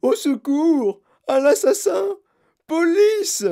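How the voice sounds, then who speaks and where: A woman voicing a frantic, overbearing mother who shrieks and pleads in a shrill voice, as a storybook reading. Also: lively intonation, a little fast. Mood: panicked. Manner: hysterical, yelling and pleading.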